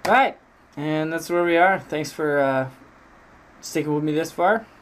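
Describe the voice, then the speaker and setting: A man giving a casual, hesitant software demo walkthrough as a game sound designer, thinking aloud as he goes. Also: on the high side, unhurried. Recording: loud music and effects behind voice. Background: loud music and game sound effects.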